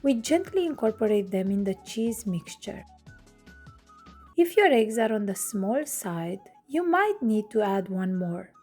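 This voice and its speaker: A woman from Romania, hosting her cooking channel and narrating a recipe in clear step-by-step instructions from her home kitchen. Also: medium pitch, big pitch swings, a little slow, darkish tone, soft background music.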